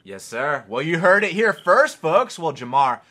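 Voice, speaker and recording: A man hosting a podcast interview, hyping up his guest with energetic questions. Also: on the high side, unhurried. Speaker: podcast host.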